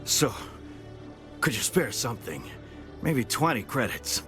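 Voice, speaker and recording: An actor playing a middle-aged retired soldier, down on his luck, who begs in a halting, stammering way. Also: medium pitch, sing-song, music in the background. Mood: wheedling.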